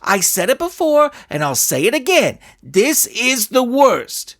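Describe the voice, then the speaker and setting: A man voicing an irate, bitter soccer coach in a story, ranting with loud, sarcastic exasperation. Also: very high-pitched, sing-song, measured pace, creaky, voice pushed up, full character voice. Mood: exasperated ranting. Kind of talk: dramatised audiobook reading.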